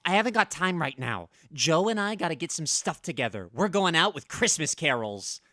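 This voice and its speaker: A man's voice: a little high, normal speed. A man playing an eager, scheming, boyish teenage son in a vintage-style radio sitcom. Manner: excitable, boyish.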